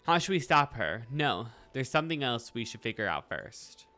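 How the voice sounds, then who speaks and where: A man's voice: mid-range voice, big pitch swings, normal speed, sharp tone. A man giving casual game reaction commentary, muttering incredulous asides and repeating himself. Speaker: reaction video host. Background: faint game music.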